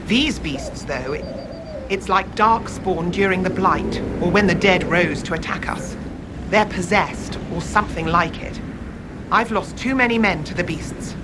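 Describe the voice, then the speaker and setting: An actor playing a worried, weary farm horsemaster in a fantasy game, grave and earnest. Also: male voice, sits high, measured pace. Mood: grave, troubled. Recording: game audio with ambient noise.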